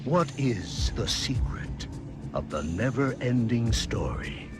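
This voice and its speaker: Male trailer announcer, grand storytelling delivery, very slow pace, swooping intonation, loud music behind. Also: medium pitch, very animated. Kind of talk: dramatic scripted film trailer narration.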